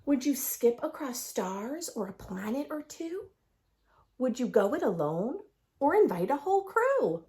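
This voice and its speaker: A woman reading a picture book aloud for young children in an expressive, sing-song storytelling manner, adding her own asides. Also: high voice, a little slow. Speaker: children's read-aloud host.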